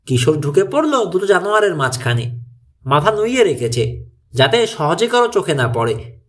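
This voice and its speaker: A man's voice: high-pitched, very animated, normal speed. A man reading a mystery thriller story as scripted audiobook narration.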